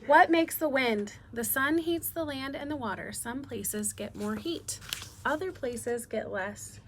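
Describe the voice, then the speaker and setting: A woman's voice: high-pitched, measured pace, a little hiss or hum. A woman teacher doing a read-aloud lesson for young children, instructive and often asking them questions. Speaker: elementary school teacher.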